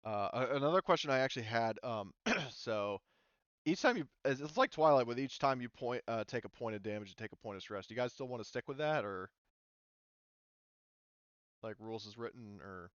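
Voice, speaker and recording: A man's voice: medium pitch, expressive, fairly quick, a bit bright, clear, resonant tone, no echo, muffled recording. A man running a tabletop roleplaying game as game master, casually explaining rules.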